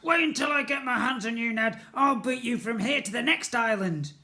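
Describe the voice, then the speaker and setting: A man's voice: extremely high pitch, normal speed, sharp tone, voice pushed up, exaggerated voice. A man voicing a bossy, bullying older sister in a storybook, bellowing and threatening. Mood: furious bellowing.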